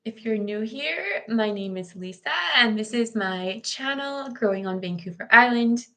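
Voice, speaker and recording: A hoarse woman, chatting casually as host of a live garden tour from her computer room, greeting viewers by name. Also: a little high, animated, a little slow, pushed and bright. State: hoarse from recent illness.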